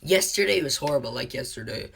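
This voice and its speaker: Young man with a gravelly cold-roughened voice. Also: medium pitch, expressive, fast talker, a bit bright, slight background noise. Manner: rambling, answers live chat, frequent ums. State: sick with a cold, voice deeper than usual. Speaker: livestream host. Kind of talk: casual live-stream chat.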